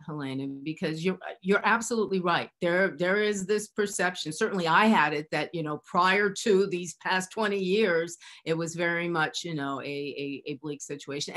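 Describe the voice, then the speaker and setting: A woman with a low voice moderates a panel discussion. She responds appreciatively to a guest, with small restarts before steering on to the next question. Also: moderate pace, a bit bright.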